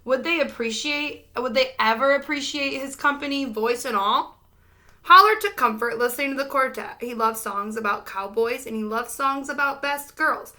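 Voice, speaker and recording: High, bright woman reading a storybook. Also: moderate pace. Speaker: storybook reader. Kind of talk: expressive children's read-aloud narration.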